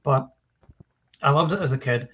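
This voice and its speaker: A man in his forties hosts a relaxed film talk in a middle-pitched voice. He rambles along with enthusiasm. The audio is a slightly dull, lo-fi livestream. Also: moderate pace, breathy edge. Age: 40s. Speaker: host of a live film discussion show. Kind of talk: casual film chat.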